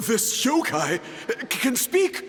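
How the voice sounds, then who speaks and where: High-pitched, timid little creature. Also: male voice, wildly swooping pitch, extremely slow, in a large hall, soft background music, exaggerated voice. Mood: nervous, amazed. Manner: stammering, fretful. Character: timid, panicky little male tanuki creature. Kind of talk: video game voice acting.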